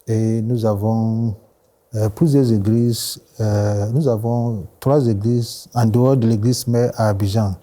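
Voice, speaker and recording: A Ghanaian man in his forties, a pastor whose first language is English, talks in a studio interview. His voice sits low and sounds very dark. He speaks in a measured way and agrees often. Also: steady tone. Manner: measured, many short agreements. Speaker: pastor and guest.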